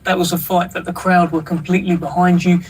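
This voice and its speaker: Man, high pitch, probing interview questions, distant mic, faint noise. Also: level tone, quick pace. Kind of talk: sports interview.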